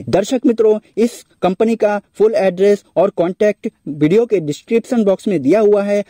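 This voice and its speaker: High dark-toned man in a dry voice-over. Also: no room sound. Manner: repeatedly addresses viewers directly. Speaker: job information channel host. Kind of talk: voice-over explainer.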